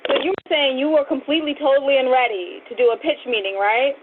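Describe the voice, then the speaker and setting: A woman with a high voice hosts an interview in a casual, chatty way. Her audio is thin and narrow, like a phone line. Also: steady tone, quick pace, background noise. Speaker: interview host. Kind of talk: casual chat.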